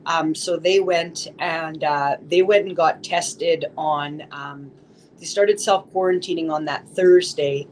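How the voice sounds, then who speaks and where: A woman in her forties telling her story in an interview, steady and with many fillers. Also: low voice, takes it slowly, a little hiss or hum, poor audio. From Saskatchewan, Canada. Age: 40s. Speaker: office administrator interviewee. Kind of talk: interview recollection.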